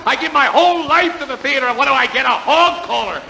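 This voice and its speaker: An actor playing a sarcastic, hot-tempered theater director in a 1940s radio play. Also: male voice, very high-pitched, fast, vocal fry, bright, forceful tone, long reverb tail, exaggerated voice, low-quality recording. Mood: exasperated. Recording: faint hiss of old radio.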